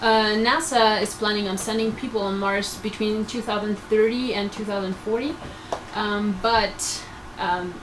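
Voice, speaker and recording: A woman's voice: mid-range voice, steady tone, a little slow. A woman in her twenties giving explanatory answers as a research crew member, speaking to students. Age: 20s. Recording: noisy room recording.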